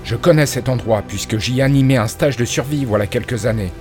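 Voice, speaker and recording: Calm soldier, steady, compassionate tone; a male narrator voices him. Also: mid-range voice, fairly quick, faint music behind, natural voice.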